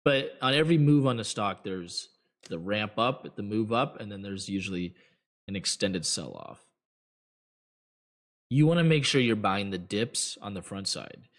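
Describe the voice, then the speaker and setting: A man hosting a trading show, giving blunt, rambling advice to viewers with many fillers, his voice getting hoarse. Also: fairly low pitch, big pitch swings, a little slow. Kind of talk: casual advice talk to viewers.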